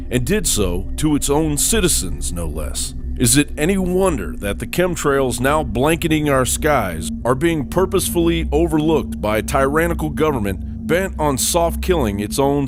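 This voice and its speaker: A man reading a scripted news report as narrator, with a grave, accusatory delivery. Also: medium pitch.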